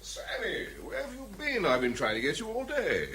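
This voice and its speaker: Flippant English civil servant, swooping intonation, husky, chummy, cynical, radio drama. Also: male voice, fairly high pitch, huge pitch swings, bright, forceful tone, heavily acted. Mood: brisk, gossipy. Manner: jaunty, flippant, brisk. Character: breezy, cynical, chummy British ministry official. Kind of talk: British radio drama acting.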